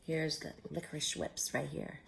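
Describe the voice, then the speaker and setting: A woman reading a children's story aloud in an expressive, drawn-out way, with chatty asides to young listeners. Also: low voice, creaky.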